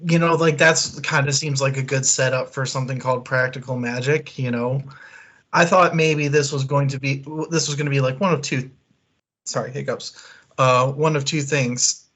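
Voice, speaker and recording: A man with an average-pitched voice co-hosts a casual book discussion stream. He talks informally, rambling along with a wry touch. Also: fairly even intonation, normal speed, fairly bright tone. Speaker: co-host of a book club livestream.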